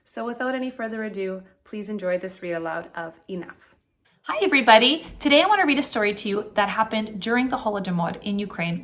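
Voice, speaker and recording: A woman reading a children's book aloud as a teacher, in an expressive storytime style. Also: mid-range voice.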